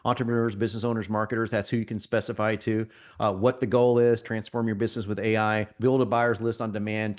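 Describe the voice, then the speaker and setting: A man getting over a cold, presenting a live marketing software demo to an audience in a conversational, salesy way, often restarting his sentences. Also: medium pitch.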